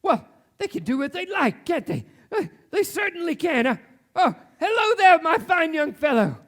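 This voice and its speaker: A man's voice: very high-pitched, big pitch swings, moderate pace, a bit bright, full character voice. A man on stage playing an elderly reformed miser in a theatrical radio play, exclaiming and repeating himself in fervent bursts. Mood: joyful excitement. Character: elderly reformed miser, frantic, giddy and overjoyed. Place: theatre stage before an audience. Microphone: shared stage microphone. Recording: live stage recording with music behind.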